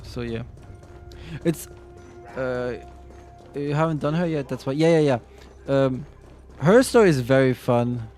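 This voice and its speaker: A man streaming a game, answering chat questions casually, stopping and restarting his sentences often. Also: mid-range voice. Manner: halting, full of restarts and fillers while answering chat. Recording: faint game music behind.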